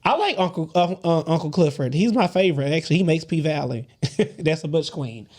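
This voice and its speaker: A man with a light voice, co-hosting a podcast and telling stories in a sassy, animated way, laughing often and swearing freely. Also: a little high, a little fast. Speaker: podcast co-host. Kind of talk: casual group chat, storytelling.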